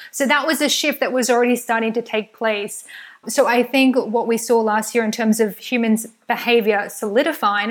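A woman from New Zealand, a podcast guest who is a strategist and sociologist, speaking in a measured way with a lot of "kind of" hedging. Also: on the high side. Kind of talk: podcast panel discussion.

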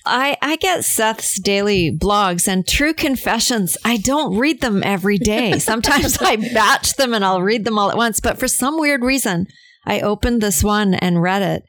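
Casting: chatty woman from Canada, mid pitch, very dry close recording. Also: expressive, moderate pace, a bit bright, dry recording. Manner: chatty, self-deprecating, laughs easily. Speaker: podcast guest. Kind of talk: casual chat.

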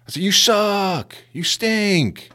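A man from Queens, telling a casual, animated story as a guest and acting out the voices of the people in it. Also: high-pitched, slow pace. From Queens, New York. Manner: animated, acts out voices, lots of "you know".

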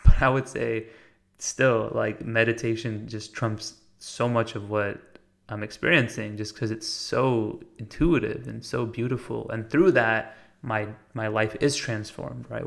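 An American man speaks in a slightly low voice with plenty of vocal fry. His melody stays narrow and even. He reflects out loud in an earnest, casual monologue, halting now and then to find his words. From United States. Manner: informal, halting, searching for words. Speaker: podcast host.

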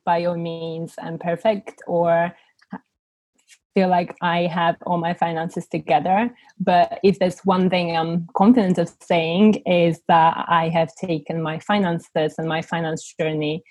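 A woman's voice: on the low side, darkish tone, dry recording. A young woman with a Bulgarian accent, speaking as a podcast guest and reflecting candidly in a conversational interview. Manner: reflective, thinks aloud with frequent restarts.

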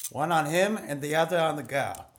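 An actor playing a gruff, bullying henchman posing as a lawman in a radio play, barking threatening orders. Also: medium pitch, brisk, natural voice. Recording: noisy student radio play recording.

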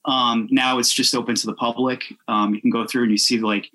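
A man chatting casually as a podcast guest, telling things informally in a halting way with frequent self-interruption. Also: mid-range voice, little pitch movement, pushed and bright. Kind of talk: casual chat, informal storytelling.

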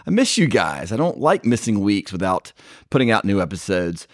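A man's voice: lowish voice, big pitch swings, moderate pace, no room sound, clean studio sound. A man hosting a casual podcast, talking in a loose, rambling way with fillers and restarts. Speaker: podcast host.

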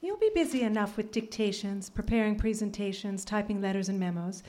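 Casting: actress for a wry, pragmatic office manager, dark relaxed timbre, deadpan delivery, live radio play. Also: female voice, mid-range voice, brisk, lightly acted. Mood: brisk instructing. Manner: crisp, instructive, deadpan. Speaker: actor. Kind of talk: live radio drama acting.

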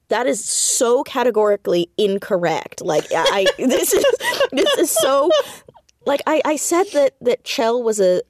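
A woman speaking as a creative guest in a casual interview, animated and self-deprecating, often laughing as she talks. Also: medium pitch, no room sound, studio quality. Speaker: podcast guest, audio drama creator and writer.

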